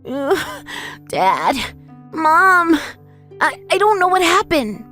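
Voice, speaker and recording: A woman's voice: extremely high pitch, big pitch swings, very slow, sharp tone, exaggerated voice. A woman voicing a frightened, lonely orphaned five-year-old boy, narrating his own story earnestly and emotively in an anime-style dub. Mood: wistful, lonely. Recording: voice over background music.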